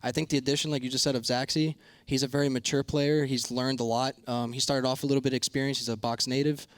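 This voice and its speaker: A man with a slightly hoarse, raspy voice, chatting informally in slang as a player giving a post-match interview and analysis. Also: medium pitch, level tone, fast, no echo. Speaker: pro esports player interviewed as guest analyst.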